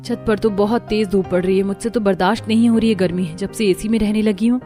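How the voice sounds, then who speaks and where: A woman's voice: mid-range voice, steady tone, fast, mellow, soft background music, close to an everyday voice. A woman voicing an earnest, dutiful young daughter-in-law in a cartoon story. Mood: complaining.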